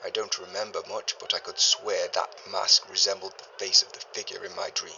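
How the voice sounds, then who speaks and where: An actor playing a learned 1930s archaeologist, a man recording a formal, deliberate journal in grave and uneasy tones for an audio drama. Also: sits low, flat delivery, vocal fry, pushed and bright, lo-fi sound, a little hiss or hum, full character voice. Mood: haunted, pensive. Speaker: expedition leader keeping a recorded log. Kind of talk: scripted audio drama monologue, spoken journal.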